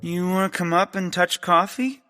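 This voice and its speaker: A man's voice: sits high, normal speed, sharp tone, faint music behind. An actor playing a whiny, awkward, lovesick man in a comedic audio drama. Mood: awkward, flustered.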